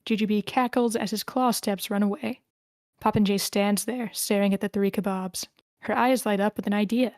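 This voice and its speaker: A woman's voice: mid-range voice, fairly even intonation, normal speed, a little mellow, no echo. A woman reading stage directions as narrator, in scripted narration at a screenplay table read.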